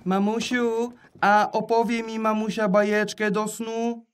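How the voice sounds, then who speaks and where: A woman's voice: on the high side, level tone, measured pace, faint music behind, lightly acted. Woman voicing a curious little boy bunny. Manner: asks many eager questions. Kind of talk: children's audio drama.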